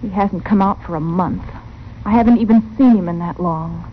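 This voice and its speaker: An actress playing an earnest, anxious frontier wife in an old radio western. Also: female voice, on the low side, normal speed, lightly acted. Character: worried, earnest frontier wife pleading for help. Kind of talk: 1950s radio western acting. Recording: muffled, hissy old radio recording.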